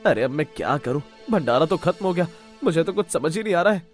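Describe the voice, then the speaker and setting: A man's voice: fairly high pitch, expressive, fast, faint music behind, natural voice. A man voicing a stubborn, brash village freeloader in a cartoon story, arguing in exclamations. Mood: worried. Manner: argumentative, exclamatory.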